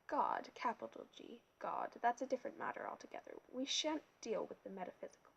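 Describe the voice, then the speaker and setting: Woman doing a gentle, learned old teacher. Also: fairly high pitch, very animated, creaky, highs cut off, slight background noise, full character voice. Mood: gentle, earnest. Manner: gentle, measured, patient.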